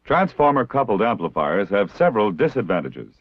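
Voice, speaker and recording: An American man reading scripted instructional narration in a measured, formal, didactic way, like a 1960s military training film. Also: fairly low pitch, big pitch swings, no echo. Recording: dull, lo-fi 1960s film soundtrack.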